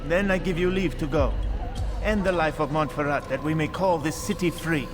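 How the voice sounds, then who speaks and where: A man playing a composed, courteous informant of an assassin order in a video game, measured, formal and instructive. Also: fairly high pitch, over music, lightly acted. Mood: grave, judging. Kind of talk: video game character acting.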